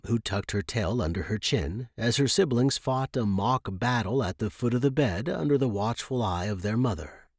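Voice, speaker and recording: Male fiction podcast host and narrator, mid-pitched, measured and articulate, very dry recording. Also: a little mellow, no room sound.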